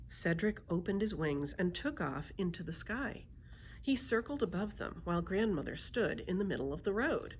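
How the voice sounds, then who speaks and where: A woman's voice: on the low side, expressive, normal speed, slight background noise. A woman narrating a children's book aloud as a librarian storyteller.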